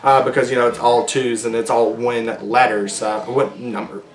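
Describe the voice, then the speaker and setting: A man hosting his own casual solo podcast, rambling and chatty with lots of ums and restarts, his voice going hoarse. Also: mid-range voice, flat delivery, moderate pace, roomy sound, poor audio. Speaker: podcast host.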